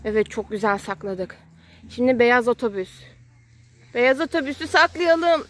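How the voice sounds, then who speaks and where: A woman's voice: on the high side, lively intonation, normal speed. A woman playfully narrating toy play for a small child outdoors, in simple, repeated, sing-song phrases and questions. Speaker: parent playing with a young child on a kids' channel. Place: outdoors at a sand and gravel pile.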